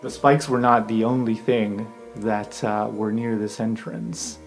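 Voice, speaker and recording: A man's voice: fairly low pitch, little pitch movement, a little slow, creaky. A man narrating an improvised tabletop game as game master, in a casual, conversational way with some swearing. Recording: roomy, music underneath. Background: background music.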